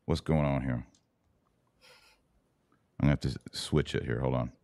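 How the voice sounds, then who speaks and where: A man's voice: rumbling low, fairly even intonation, normal speed, vocal fry, mellow, no echo, studio quality. A man hosting a podcast interview, chatting informally and thinking aloud as he goes. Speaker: podcast host. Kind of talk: casual interview chat.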